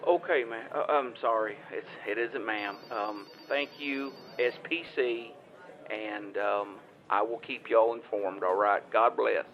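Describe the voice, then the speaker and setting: A man playing a polite, anxious rural caller, halting and stammering, in an improvised audio drama phone call. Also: on the low side, fairly even intonation, natural voice. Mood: sheepish, relieved. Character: polite, hesitant, anxious rural Southern man filing a claim. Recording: dull, band-limited phone call.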